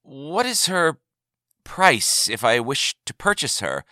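A man's voice: medium pitch, big pitch swings, takes it slowly, sharp tone, no room sound, studio quality, pitched above the performer's own voice, exaggerated voice. A man voicing an easily tempted alien rancher in a science fiction story, nervous and barely hiding his eagerness. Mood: nervous longing.

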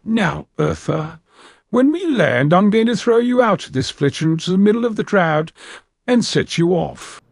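A man's voice: high voice, expressive, measured pace, gravelly, no echo, clean studio sound, pitched above the performer's own voice, exaggerated voice. A man voicing a haughty elder male cat-like alien villain, contemptuous and threatening in an audiobook. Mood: gloating menace. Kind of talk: audiobook character voice.

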